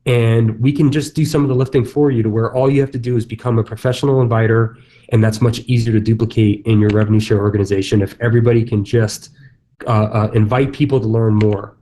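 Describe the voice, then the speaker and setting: Mellow-voiced man talking casually on stage. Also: lowish voice, steady tone, quick pace. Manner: casual, loose, many fillers and restarts. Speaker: real estate agent speaker. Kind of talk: conference stage talk.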